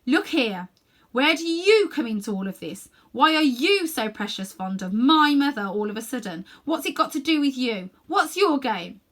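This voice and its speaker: A woman voicing an earnest, troubled schoolboy in a storybook, halting and indignant. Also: high voice, big pitch swings, fairly bright tone, voice pushed up, heavily acted. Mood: bold, indignant. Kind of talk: children's storybook character voice.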